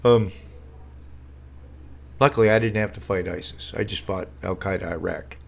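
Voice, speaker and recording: A man with a slightly low voice rambles through casual political commentary on a live stream. He is sarcastic and loose, full of fillers and false starts, talking from a room at home. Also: moderate pace, creaky. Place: home room with a green screen.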